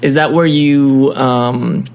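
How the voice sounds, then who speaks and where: Male interview host, middle pitch, gravelly, curious and rephrasing. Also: fairly even intonation, takes it slowly, darkish tone, slight background noise. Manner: curious, rephrasing questions with fillers. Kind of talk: conversational interview.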